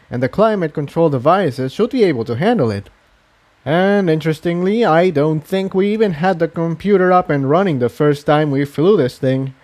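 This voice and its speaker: A man's voice: a little high, a little mellow, voice pushed up, lightly acted. A man voicing an upbeat male unicorn tinkerer in a fantasy story, explaining things in a reassuring manner.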